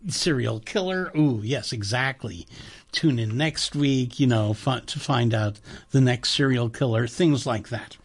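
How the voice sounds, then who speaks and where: Raspy-ish guy hosting a radio show, rambly and chatty, pitching pledges. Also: measured pace. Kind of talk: casual on-air radio chat with a pledge-drive pitch. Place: radio studio.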